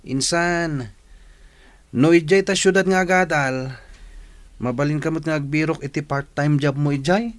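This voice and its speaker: A man's voice: a little high, fairly even intonation, normal speed, pauses often, dry recording, natural voice. A man with an Ilocano accent playing a practical, encouraging young cousin in a radio drama. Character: practical, encouraging young male cousin urging city studies.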